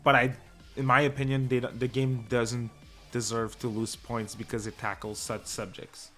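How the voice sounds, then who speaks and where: A man's voice: mid-range voice, normal speed, soft background music. A man with a French accent, co-hosting a casual podcast and rambling through his thoughts with restarts. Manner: relaxed, rambling with restarts and laughter. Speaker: podcast co-host. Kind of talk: casual chat.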